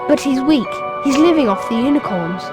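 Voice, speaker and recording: A woman's voice: high voice, animated, normal speed. A woman voicing an earnest, anxious young schoolboy wizard in a video game. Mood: worried. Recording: game music behind the voice.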